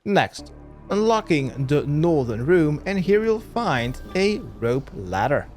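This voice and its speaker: A man's voice: on the high side, big pitch swings, takes it slowly, a little mellow, faint music behind. A man narrating an instructional video game walkthrough in a step-by-step manner. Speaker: gaming guide creator.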